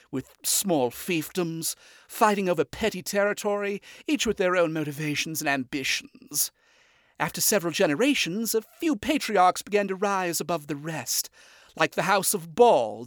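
A man's voice: high-pitched, fairly bright tone, no echo, pitched above the performer's own voice. A man putting on a proper, well-bred male demon prince of owls who speaks in a raised, lilting voice that swoops up and down. He talks formally and likes to lecture. The delivery is close and dry, with no room sound. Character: fussy refined male owl demon prince.

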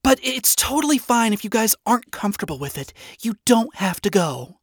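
A woman's voice: on the high side, very animated, moderate pace, slightly rough, no room sound, clean studio sound, pitched above the performer's own voice. A woman voicing a chipper, earnest young princess in a story, hesitant and straining to sound cheerful. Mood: nervous, unsure. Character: hopelessly chipper young princess, earnest, nervously enthusiastic leader.

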